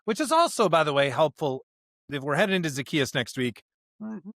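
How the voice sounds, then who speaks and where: A male podcast host with a somewhat high voice that swings widely up and down. He gives conversational theological commentary, driving points home with asides and rhetorical questions. The recording is close and dry. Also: sing-song, a little fast, a bit bright, no room sound.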